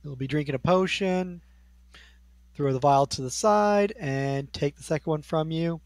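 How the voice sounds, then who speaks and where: A game master narrating scenes and outcomes in a tabletop role-playing game, describing rooms in an unhurried, descriptive way. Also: medium pitch, darkish tone, no echo.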